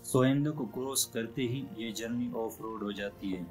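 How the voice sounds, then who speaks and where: A man narrating a travel vlog as a calm, scripted voice-over. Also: medium pitch, normal speed, rough recording. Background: soft background music.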